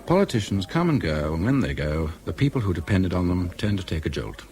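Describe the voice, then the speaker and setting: An actor playing a shrewd, needling political insider with a British accent, probing slyly in a clubby manner. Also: male voice, deep voice, very animated, fairly quick, a little mellow, slight background noise. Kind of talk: radio drama dialogue.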